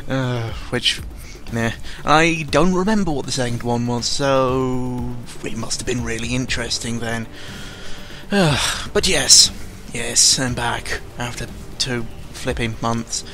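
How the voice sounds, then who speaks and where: A young man giving casual, unscripted commentary in a rambling, halting way, often interrupting himself, with a sarcastic, self-deprecating and exasperated manner. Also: mid-range voice, sharp tone. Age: young adult. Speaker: YouTuber recording an audio log. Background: background music.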